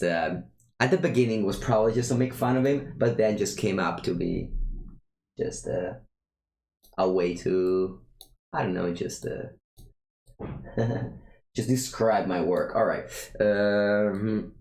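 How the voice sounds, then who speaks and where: A man's voice: deep voice, unhurried, long pauses, darkish tone, reverberant. A young man from Italy with an Italian accent, casually commenting on his own card game as he plays, thinking aloud and often restarting his sentences. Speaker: streamer playing a card game.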